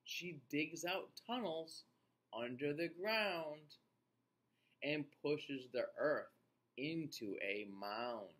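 A man in his forties reading a picture book aloud to young children in an expressive, teacher-like way, with playful asides. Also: on the high side, very slow. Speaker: children's read-aloud host. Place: small indoor room.

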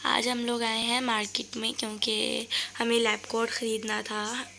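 A woman's voice: on the high side, little pitch movement, unhurried, vocal fry, sharp tone, slight background noise. A woman from Pakistan with a sore throat, narrating a casual shopping vlog in a cheerful, chatty way and pointing things out to her viewers. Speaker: vlogger. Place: voice-over recorded later, indoors.

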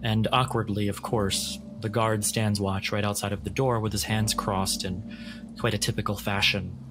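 A man's voice: lowish voice, flat delivery, normal speed. A man narrating a tabletop roleplaying game as its game master. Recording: music under the voice.

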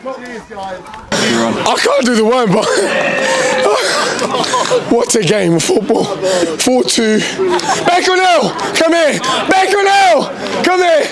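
A hoarse, excitable man who has lost his voice, shouting players over and interviewing them pitchside at a football match. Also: drawn-out words. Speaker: club vlogger and pitchside interviewer. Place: outdoors at a football pitch, crowd around. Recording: loud crowd on an outdoor pitch.

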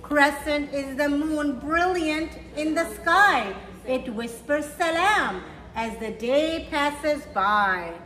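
A woman's voice: high voice, slow pace, hardly any pauses. A woman reading aloud and teaching at children's storytime, speaking simply and encouragingly to young children. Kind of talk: read-aloud of a picture book for children. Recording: roomy echo with faint background noise.

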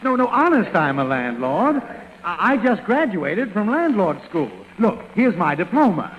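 Nervous polite landlord, highish lively voice, muffled old radio skit. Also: male voice, a little slow, in a large hall. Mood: flustered, pleading. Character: eager, polite, flustered young novice landlord. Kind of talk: 1930s radio comedy acting.

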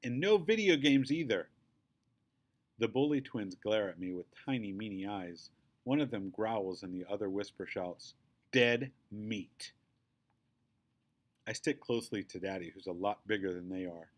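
Guy reading a kids book out loud, fairly low voice, expressive storytelling. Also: brisk. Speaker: read-aloud narrator. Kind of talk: expressive scripted narration of a children's book.

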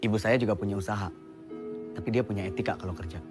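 An actor playing a stern, stubborn young small-business boss in a naturalistic film drama. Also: male voice, lowish voice, steady tone, rapid-fire, music in the background, lightly acted. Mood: curt, offended. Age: young adult.

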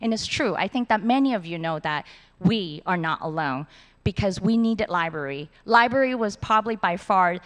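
A middle-aged woman speaks earnestly in plain, simple phrases. Her voice sits at a middle pitch. She holds a handheld mic on a large auditorium stage, and a long hall reverb trails her words. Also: expressive, moderate pace. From Hong Kong and Taiwan. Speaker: city supervisor. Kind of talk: award acceptance speech.

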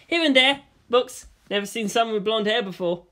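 A woman's voice: a little high, normal speed, fairly bright tone, voice pushed up, natural voice. A woman reading an audiobook, voicing a courteous, awkward teenage boy. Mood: polite, casual. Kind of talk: audiobook character voice.

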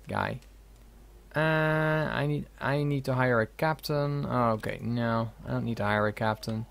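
A man's voice: mid-range voice, vocal fry. A young man giving casual let's play commentary, reading game dialogue aloud with jokey asides. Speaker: gaming video host.

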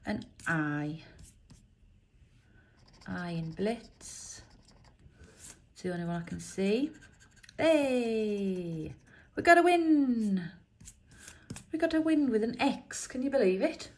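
A woman, croaky from a chest infection, chatting casually while she reads out letters and symbols on scratch cards at a table indoors. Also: medium pitch, sing-song, takes it slowly, long pauses. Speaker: scratch card vlogger. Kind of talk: casual commentary.

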